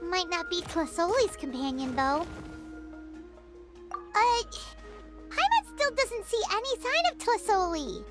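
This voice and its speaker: Tiny chatty game sidekick, super high squeaky voice that bounces all over, game music behind. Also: female voice, big pitch swings, unhurried, pauses often, heavily acted. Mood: curious. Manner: talks about herself in third person. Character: small floating female companion, chatty and excitable.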